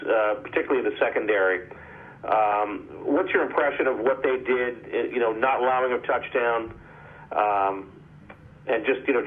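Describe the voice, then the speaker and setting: A man asking a wordy, hesitant reporter's question on a phone press conference. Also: mid-range voice. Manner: wordy, hesitant, often says you know. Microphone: phone call. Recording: muffled phone conference line.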